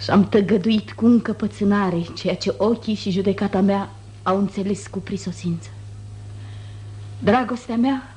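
A woman's voice: mid-range voice, dark tone, close to an everyday voice, low-quality recording. An actress playing a proud, wounded noblewoman, formal, declamatory and reproachful, in 1950s radio theatre.

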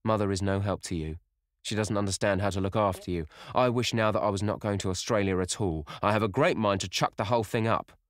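A man's voice: lowish voice, fairly even intonation, fast talker, no room sound, studio quality, lightly acted. A man voicing a sullen, hot-tempered sixteen-year-old lad, blunt and protective, as an audiobook character. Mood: sullen, resentful.

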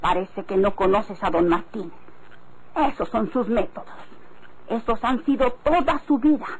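An actress playing a wary, skeptical country wife in a Mexican radio drama, pointed and admonishing, with a Mexican accent. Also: female voice, fairly high pitch, measured pace, natural voice. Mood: wary, foreboding. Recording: old radio recording, faint noise.

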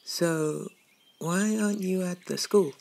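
A woman plays a sweet-toothed, dim and excitable bunny boy in an ASMR roleplay. The voice sits low and creaky, swinging hugely up and down in a childlike way. Birdsong and clear background noise surround it. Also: takes it slowly. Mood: puzzled, cheerful. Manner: dim-witted, childlike, breathy asides with giggles and gasps. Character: naive, excitable, gluttonous young bunny boy.

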